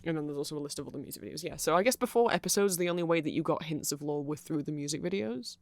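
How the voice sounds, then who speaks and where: Casting: ASMR voice, androgynous mid pitch, gravelly fry, very dry sound, casual and digressive nerd. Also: rapid-fire, no echo. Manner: nerdy, self-deprecating, digressive. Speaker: ASMR creator explaining band lore. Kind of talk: casual rambling ASMR explainer.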